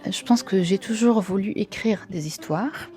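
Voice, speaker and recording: A woman's voice: mid-range voice, animated, unhurried, mellow, soft background music. A woman of twenty-nine from Syria with a Syrian Arabic accent, answering interview questions casually in a non-native language and often searching for words. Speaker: interviewee, theatre performer and film worker.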